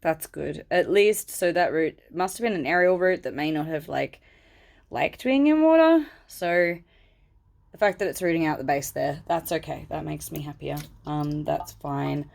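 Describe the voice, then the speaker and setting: A woman with a low voice, stuffy and snuffly as if coming down with a cold. She chats loosely and rambles, talking fondly to her plants at home. It is captured through a phone camera. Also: normal speed, creaky, a little mellow. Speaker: plant vlogger. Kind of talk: casual chat while handling plants. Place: at home indoors.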